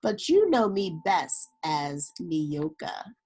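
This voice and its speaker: Woman voicing slow, stately snake king. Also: lowish voice, very animated, darkish tone, husky, heavily acted. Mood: solemn, tender. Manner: slow, solemn declarations. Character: gentle male snake king, wise and stately.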